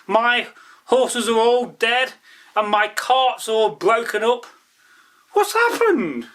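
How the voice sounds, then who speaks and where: A man voicing a slow-witted, bewildered Viking merchant in a folktale, puzzled and exclaiming. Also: very high voice, big pitch swings, a bit bright, voice pushed up, exaggerated voice. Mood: bewildered, alarmed.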